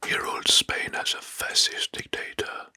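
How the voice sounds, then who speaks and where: A man narrating history as ASMR in a breathy, hushed whisper, slowly and deliberately, softly repeating words. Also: no room sound.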